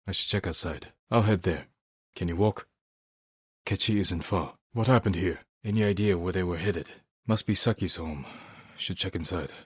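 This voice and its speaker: A solemn, determined samurai from a video game. His voice sits very low with a rough husk to it, recorded dry and close. He speaks in short, measured lines with serious, honourable resolve. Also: dry recording. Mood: focused. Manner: terse, measured, serious delivery.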